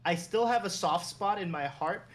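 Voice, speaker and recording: A man from New York City, a former team general manager and agent, talking as a guest in a relaxed, meandering way with the odd chuckle. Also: high voice, moderate pace. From New York City, USA. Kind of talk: casual interview conversation.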